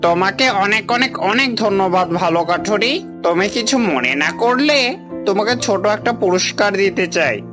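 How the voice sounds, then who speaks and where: Actor as talking fox, grateful, courteous, earnest, cartoon fable, medium pitch, background music. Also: lively intonation, normal speed, natural voice. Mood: grateful, eager. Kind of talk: moral-story cartoon dubbing.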